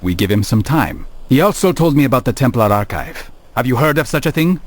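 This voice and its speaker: An actor playing a calm, determined assassin in a video game, speaking in terse, measured lines. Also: male voice, mid-range voice, close to an everyday voice. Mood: calm, purposeful. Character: calm, determined young assassin questioning allies. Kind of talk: scripted game cutscene dialogue.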